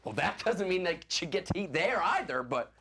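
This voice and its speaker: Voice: mid-range voice, big pitch swings, moderate pace, background noise. An actor playing a pompous, power-drunk stage player who lords it over a troupe in grandiose, declaiming style. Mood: gloating, commanding. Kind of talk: theatrical stage play acting.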